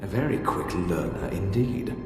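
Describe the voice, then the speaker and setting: An actor playing an ancient Sith spirit in a video game, a solemn keeper of dark secrets who speaks formally and ominously. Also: male voice, low-pitched, animated, moderate pace. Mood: solemn, instructing. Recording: cavernous echo with long reverb, music behind.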